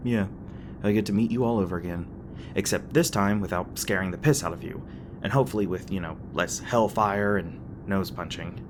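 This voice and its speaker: A man's voice: on the low side, moderate pace, close to an everyday voice. A man playing a teasing, crass but caring demon boyfriend in a conversational audio roleplay, talking casually with some hesitation. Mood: upbeat, candid. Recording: clearly noisy background with ambient sound effects.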